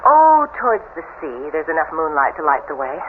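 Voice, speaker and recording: An actress playing a worldly, wry sister-in-law with an ominous streak in a 1970s radio mystery, speaking in a dry, knowing, theatrical way. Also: female voice, low voice, big pitch swings, a little fast. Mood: knowing, mysterious. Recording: very noisy, tinny old radio broadcast.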